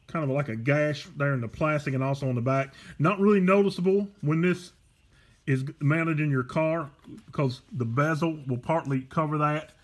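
A man giving a casual, unscripted product demonstration in a halting, plainspoken way, recorded at home on a handheld camera. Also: fairly high pitch, fairly bright tone. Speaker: online seller demonstrating a car stereo. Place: carpeted room at home. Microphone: handheld camera behind the lens.

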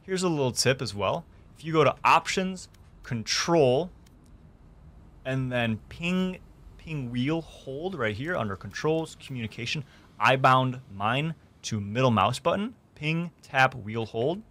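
A man's voice: mid-range voice, expressive, unhurried, a bit bright. Young man giving a step-by-step gaming tutorial. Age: young adult. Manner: clear step-by-step instructing. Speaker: gaming streamer and host. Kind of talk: tutorial narration. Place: small indoor room streaming setup.

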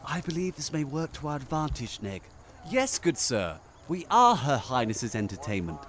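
A man's voice: mid-range voice, sing-song, normal speed, a little hiss or hum, natural voice. A man playing a courteous elf adventurer with polite, flowery, formal speech in an audio drama. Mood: diplomatic.

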